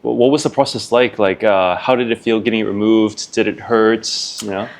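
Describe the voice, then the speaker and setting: Male host and interviewer, low voice, gentle prompting questions, lots of quiet agreement. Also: fairly even intonation, fairly quick, gravelly. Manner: soft, affirming questions with many "I see"s. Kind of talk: casual interview chat.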